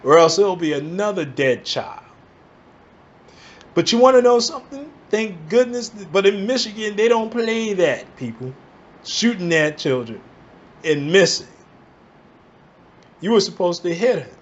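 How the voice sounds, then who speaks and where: A man from the Bronx, ranting in emphatic bursts and tossing in "all right?" to keep his listeners with him. His voice sits a touch higher than most men's. The recording is dull and lo-fi. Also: expressive, unhurried, long pauses. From the Bronx, New York. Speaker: vlogger. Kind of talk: angry commentary rant.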